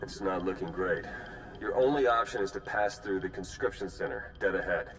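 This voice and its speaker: An actor playing a wry, urgent covert agent in a video game, giving terse, businesslike briefings to a team. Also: male voice, low voice, moderate pace, close to an everyday voice, low-quality recording. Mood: urgent, grim. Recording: game music behind the voice.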